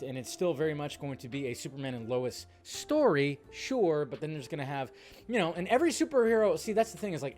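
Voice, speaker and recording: A man's voice: on the high side, a little mellow. A man giving casual, opinionated fan commentary as a film news host, emphatic, rambling and slangy. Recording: music behind the voice.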